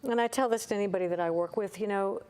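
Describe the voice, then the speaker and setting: A woman's voice: mid-range voice, fast talker, a little mellow, clean tone. A woman in her fifties, a singer-songwriter and vocal coach, talking candidly and chattily as a guest in a casual interview.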